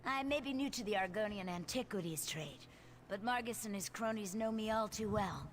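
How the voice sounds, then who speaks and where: A woman's voice: medium pitch, moderate pace, slight background noise, natural voice. Woman playing an earnest, purposeful antiquities collector. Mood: businesslike, wary. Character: purposeful female antiquities collector organising expeditions. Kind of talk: video game character dialogue.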